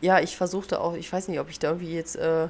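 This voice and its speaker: A German woman with a notably low voice chats loosely on a podcast, full of fillers and casual slang. She sounds a little stuffed up and nasal from a cold, with faint room ambience behind her. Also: fairly even intonation, quick pace, darkish tone, slight background noise. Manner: chatty, lots of fillers and slang. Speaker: podcast co-host. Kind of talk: casual chat. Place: living in the United States.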